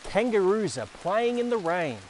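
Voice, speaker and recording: An Australian man hosts a children's read-aloud. His voice sits a little high and swings widely in pitch. He comes across as playful and expressive, talking as if to young listeners. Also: measured pace, noisy background. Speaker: children's storyteller and show host. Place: outdoors in a forest.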